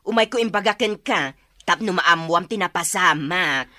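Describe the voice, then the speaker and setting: Indignant, sharp-voiced village woman. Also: lowish voice, normal speed, dry recording, clean studio sound. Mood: aggrieved. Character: indignant, scolding village neighbor woman bringing complaints. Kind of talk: Ilocano radio drama acting.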